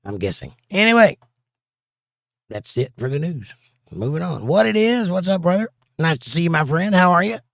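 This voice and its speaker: Male stream host, a bit hoarse, jokey chat reader, dry close sound. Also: fairly high pitch, sing-song, moderate pace, fairly bright tone, dry recording. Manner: jokey, reads viewer comments aloud, breaks into silly bits. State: slightly hoarse and scratchy. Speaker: livestream host reading chat. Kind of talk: casual livestream chat.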